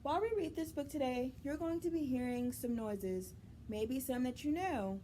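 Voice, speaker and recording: A woman hosting a children's story time, reading a picture book aloud in a deliberate, expressive way and speaking directly to young listeners. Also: sits high, slight background noise.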